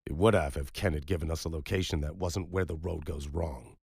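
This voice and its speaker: A man's voice: extremely low pitch, fairly quick, vocal fry, darkish tone, slightly rough, no echo, clean studio sound, heavily acted. A man voicing a guarded, defensive sheriff's deputy in an audiobook, speaking in a terse, firm manner. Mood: defensive, skeptical.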